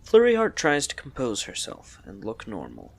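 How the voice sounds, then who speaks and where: A man's voice: mid-range voice, wildly swooping pitch, moderate pace, creaky, breathy edge. A man narrating a scripted audio story.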